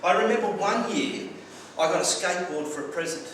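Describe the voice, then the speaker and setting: An Australian man with a fairly high voice tells stories from his childhood with dry humour, sometimes tripping over his words. He stands well back from the microphone, so his voice rings around a very echoey room. Clear background noise runs under everything he says. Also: expressive, a little fast, a bit bright, recorded from a distance. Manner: anecdotal, wry humour, occasional stumbling repeats. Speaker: club speaker. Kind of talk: prepared personal speech.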